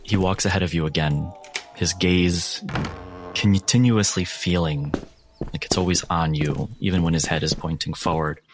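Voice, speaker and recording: A man narrating a horror tabletop roleplay as game master, in a measured, suspenseful way with deliberate pauses. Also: low voice, steady tone, noisy background. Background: background music.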